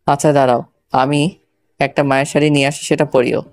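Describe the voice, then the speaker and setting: Man acting an even-toned, irritable young husband. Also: on the high side, fairly quick, no room sound, studio quality, natural voice. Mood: grudging. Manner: curt, scolding.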